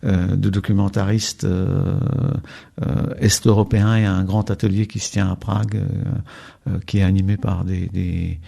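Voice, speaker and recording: A man's voice: deep voice, level tone, normal speed, creaky, warm tone. An older man from Czechia with a faint Czech accent, a documentary filmmaker talking in a radio interview, hesitant with many fillers and restarts.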